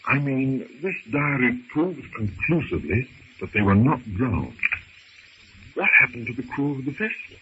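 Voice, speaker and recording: Lively actor as proper gentleman castaway, old radio. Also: mid-range voice, lightly acted. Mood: solemn, puzzled. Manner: formal, genteel, theatrical phrasing. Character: proper, earnest English gentleman castaway in the jungle. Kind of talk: old-time radio adventure serial acting. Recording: dull, hissy old radio recording.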